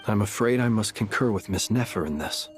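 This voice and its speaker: A courteous gentleman ally from a game, voiced by a man. He speaks formally in a fairly low voice, with music clearly behind him. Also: expressive, brisk, lightly acted. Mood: earnest.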